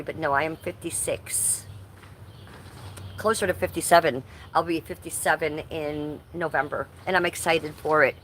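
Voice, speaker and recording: A woman's voice: sits low, a bit bright. A woman in her fifties hosting a casual live stream from her front yard, playfully greeting viewers by name. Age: 50s. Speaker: livestream host. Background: faint ambient noise of roofers working nearby.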